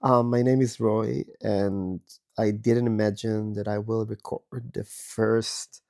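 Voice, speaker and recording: An Israeli-accented man with a raspy, hoarse voice from being ill. He talks slowly in a dark, mellow tone. His manner is reflective and confessional, like a host opening up on his own podcast. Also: on the low side, little pitch movement. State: hoarse from a virus. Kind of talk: personal monologue. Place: in bed at home.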